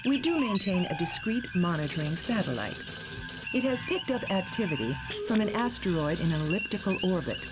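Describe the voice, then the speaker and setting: An expressive, official fleet commander. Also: male voice, high voice, brisk. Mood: official, businesslike. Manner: official, crisp briefing delivery. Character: formal, authoritative fleet commander. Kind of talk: video game voice acting. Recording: game audio with music behind.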